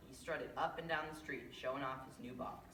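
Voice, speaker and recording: Teen girl, deep for a female, scripted narration, roomy distant recording. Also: fairly even intonation, brisk, a bit bright. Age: young teenager. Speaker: readers theater narrator. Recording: echoey room, distant mic, faint noise.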